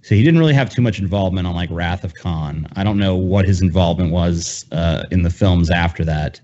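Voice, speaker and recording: A man with a fairly deep voice chats casually as a podcast co-host. He is blunt, informal and given to swearing. The recording sounds a little muffled. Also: brisk, warm tone.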